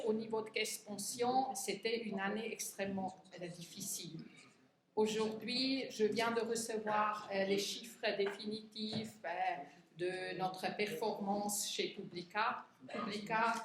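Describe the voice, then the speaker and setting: Slow-speaking non-native woman lecturing in hall. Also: medium pitch. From Switzerland. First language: not French. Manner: hesitant, many fillers and restarts. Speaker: conference speaker. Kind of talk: lecture to union retirees. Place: conference hall. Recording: echoey hall, faint room noise.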